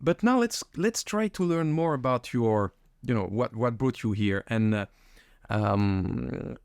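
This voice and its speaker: A man's voice: mid-range voice, animated, a little slow, vocal fry, darkish tone, no echo, clean studio sound. A man hosting a podcast interview, asking curious questions and summing up the guest's answers. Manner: curious, friendly, summarizing answers back. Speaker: podcast host.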